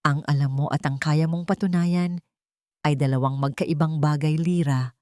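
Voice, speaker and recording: A woman voicing a calm, doubtful young male journalist in an audio love story. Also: low voice, dry recording, studio quality, voice pushed down, natural voice. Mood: gentle, firm. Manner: calm, gentle, measured.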